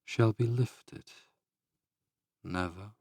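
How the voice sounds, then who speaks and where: A man giving a dramatic, brooding recitation of gothic poetry with measured, theatrical delivery. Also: on the low side, creaky, darkish tone, no echo, faint music behind. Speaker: reader of poetry.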